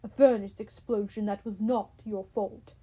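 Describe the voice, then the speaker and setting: A woman voicing a wise old centaur teacher who speaks calmly and kindly. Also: medium pitch, moderate pace, slightly rough, lightly acted.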